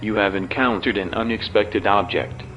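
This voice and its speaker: A man voices a mechanical navigation system that speaks in a low, flat monotone. It sounds distant with a layer of noise, in a horror audio drama. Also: moderate pace, lo-fi sound, lightly acted.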